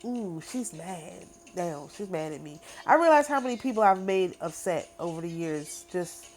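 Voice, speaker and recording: A woman's voice: lowish voice, lively intonation, normal speed, darkish tone, soft background music. A middle-aged woman talking casually to camera in an emphatic, repetitive, boastful way. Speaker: spiritual channel host. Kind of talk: casual spoken monologue.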